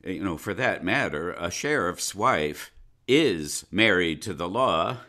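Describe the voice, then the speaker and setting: A condescending small-town county attorney, in a radio play. He drawls slowly with a bright edge and a lively, swooping voice, filling his pauses with patronizing chuckles. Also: sits low, exaggerated voice. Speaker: Atty. Henderson, county attorney. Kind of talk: live radio play acting. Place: home closet.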